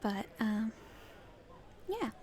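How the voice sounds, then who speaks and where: A woman playing a cheeky young street thief in an ASMR roleplay, chatting casually and haltingly to the listener. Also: fairly high pitch, big pitch swings, darkish tone, full character voice. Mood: hesitant, evasive. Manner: casual, halting speech with hesitations.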